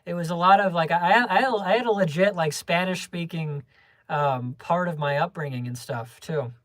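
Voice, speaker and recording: A young adult livestreamer chatting casually with viewers, rambling through many restarts and small laughs in a low-key, homebody way. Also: normal speed, no room sound.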